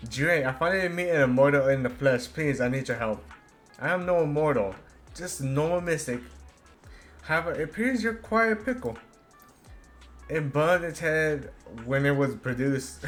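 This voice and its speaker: A man streaming a game, reading its dialogue aloud haltingly and adding casual commentary. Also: medium pitch, a bit bright. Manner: halting read-aloud with stumbles.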